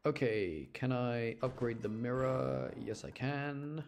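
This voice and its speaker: A man's voice: mid-range voice, takes it slowly, creaky, darkish tone. A man giving casual live gameplay commentary as a streamer, chatty and explanatory. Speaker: game streamer.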